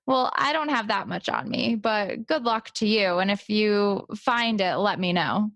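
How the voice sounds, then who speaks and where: A woman's voice: medium pitch, a little slow, fairly bright tone, dry recording. A woman podcast host improvising comedy as herself, conversational, in a bemused straight role.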